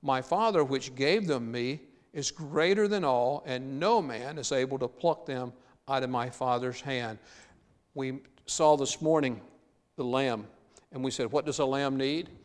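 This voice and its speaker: A male pastor delivers a sermon in a church, earnest in tone. He slows and stretches his key words to press a point home. His voice rings with the long reverb of a large sanctuary. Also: mid-range voice.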